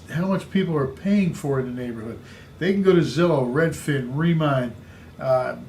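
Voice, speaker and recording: Male sales trainer, booming voice, slightly high pitch, emphatic repeating delivery, faint room noise. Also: a little mellow. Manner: emphatic, repetitive coaching delivery. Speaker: real estate sales trainer. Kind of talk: instructional podcast talk.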